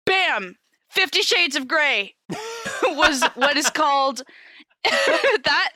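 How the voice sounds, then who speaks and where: A woman with a fairly high, very bright voice talks like a podcast co-host going through notes. She is informal and giggly, wandering into asides, and the sound is dry and close. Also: no room sound. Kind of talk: casual informal explainer chat, reading from notes.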